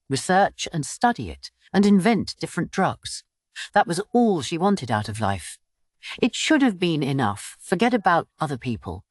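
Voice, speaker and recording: Female audiobook narrator, low pitch, highly varied intonation, expressive fiction reading, dry sound. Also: no room sound.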